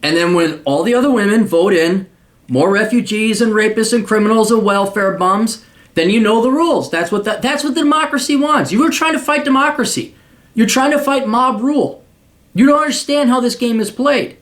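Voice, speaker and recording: A man with a high-pitched voice rants his advice straight at the listener. He is blunt and crude, swears freely, and mocks the person he addresses while lecturing them on how the world works. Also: fairly quick, a bit bright. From United States. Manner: profane, mocking, lecturing. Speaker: podcast host giving paid advice.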